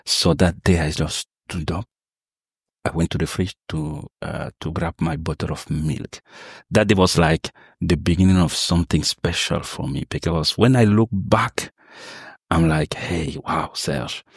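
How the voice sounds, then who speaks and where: A man's voice: sits low, a little slow, husky, no echo, clean studio sound. A young man from Cameroon telling his life story in an interview, with many fillers and restarts. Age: young adult. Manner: hesitant, many fillers and restarts, non-native phrasing. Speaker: interview guest, sales professional.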